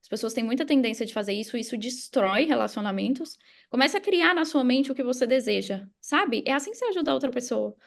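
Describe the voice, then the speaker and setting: A woman's voice: sits high, expressive, fast talker, gravelly. A Brazilian woman speaking as a coach and podcast guest, explaining conversationally and emphatically, straight to the listener.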